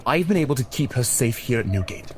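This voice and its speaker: A man's voice: mid-range voice, very animated, quick pace, natural voice. A man playing a stern, weary Victorian police inspector in an audio drama, formal and scolding. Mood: grave, commanding. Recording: noisy ambience behind the voice.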